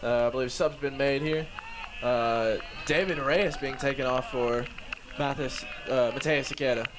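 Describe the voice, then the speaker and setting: A man doing play-by-play commentary for a live match, slightly hoarse, excitable and chuckling often. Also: mid-range voice, normal speed, noisy background.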